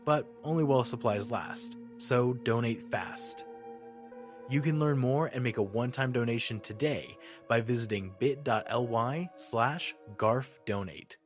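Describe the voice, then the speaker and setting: A man's voice: medium pitch, a little slow, soft background music. A man reading a scripted fundraising advertisement as an announcer. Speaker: promo announcer.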